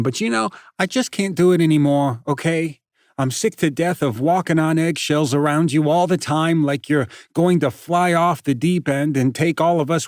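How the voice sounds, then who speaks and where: A man's voice: a little high, fairly bright tone, breathy edge, dry recording, clean studio sound, pitched above the performer's own voice, exaggerated voice. A man voicing a cruel, taunting teenage boy who talks in a cold, hard, sneering way.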